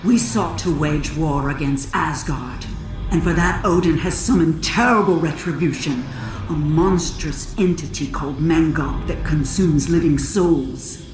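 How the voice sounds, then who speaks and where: An actress playing a grave, despairing woman of a doomed godlike people, delivering a solemn, measured monologue in a video game. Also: fairly low pitch, lightly acted. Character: grave, despairing female Vanir leaving a final record. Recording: echoing voice under clear music.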